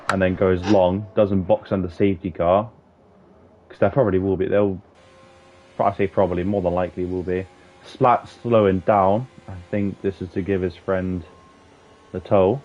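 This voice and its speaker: Low-voiced guy doing sim racing commentary, swears a lot, jokey banter, kinda muffled stream audio. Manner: sweary, excitable, banter-heavy. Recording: dull lo-fi stream audio with faint hiss.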